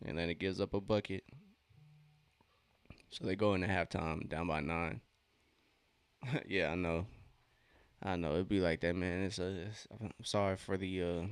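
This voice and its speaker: A man's voice: low voice, fairly even intonation, normal speed, pauses often, smooth, no echo. A thirty-year-old man hosting a sports watch-along stream, chatting casually in a laid-back, slangy way between bits of commentary. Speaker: livestream watch-along host.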